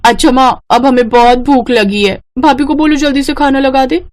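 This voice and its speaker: High-pitched, whiny, idle young sister-in-law acted by a woman, bossy and demanding, cartoon family drama. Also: fairly even intonation, moderate pace, a little mellow, close to an everyday voice. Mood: wheedling. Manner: whiny, pushy.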